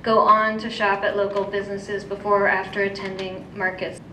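A woman's voice: little pitch movement, normal speed. An American woman in her thirties delivering a prepared public comment as a citizen. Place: indoor council meeting room. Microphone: gooseneck podium microphone. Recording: echoey room, distant mic, faint rumble.

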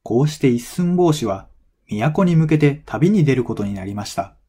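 A man reading a folktale as a scripted narration for language learners, in measured, clearly phrased storytelling. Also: mid-range voice, animated, warm tone.

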